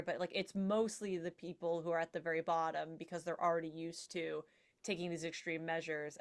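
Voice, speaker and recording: Wry woman with a lowish voice. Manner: wry, articulate, digressive. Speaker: podcast panel guest, writer. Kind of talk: casual panel discussion.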